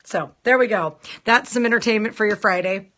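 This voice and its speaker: A woman hosting a casual live stream, chatting playfully with viewers and greeting them in a sing-song lilt, her voice a little rough from a sore throat. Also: medium pitch, brisk, a bit bright, slight background noise. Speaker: nail salon owner hosting a live stream.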